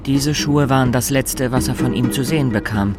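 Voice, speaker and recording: A man's voice: medium pitch, moderate pace. A man reading scripted dramatic narration for a radio play. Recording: studio narration over clear music. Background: music.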